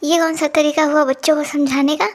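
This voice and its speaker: Calm, high-voiced wise cartoon woman, voiced by an actress. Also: fairly quick. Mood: calm, advising. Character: calm, wise village woman giving firm advice. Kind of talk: Hindi moral story cartoon dubbing.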